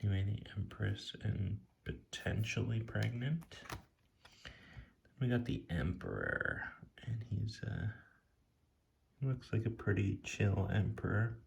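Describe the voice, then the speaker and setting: A man speaking softly and casually in ASMR style, sometimes near a whisper, musing off the cuff as he reviews something. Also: lowish voice, big pitch swings, unhurried, creaky, dull audio, slight background noise. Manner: hushed, sometimes dropping to a whisper; casual, laid-back musing. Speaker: tarot deck reviewer. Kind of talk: soft-spoken ASMR, impromptu commentary.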